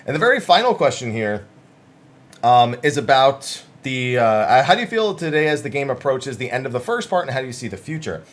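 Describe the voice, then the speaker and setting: Animated man giving casual gaming commentary. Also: medium pitch, fairly quick. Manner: informal, conversational, emphatic. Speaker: gaming news host and commentator. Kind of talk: casual game news commentary, summarizing interviews.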